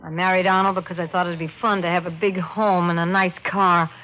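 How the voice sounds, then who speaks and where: An actress playing a brash, unsentimental gold-digging widow in an old radio crime drama, blunt and slangy. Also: female voice, fairly low pitch, flat delivery, brisk, natural voice. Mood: brazen, unsentimental. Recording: muffled old-time radio recording.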